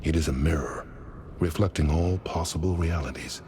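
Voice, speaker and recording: An actor playing a cryptic, solemn male mystic in a horror game, delivering riddles in a portentous, deliberate way. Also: a bass voice. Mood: ominous. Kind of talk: dramatic video game monologue. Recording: game audio with clear background noise.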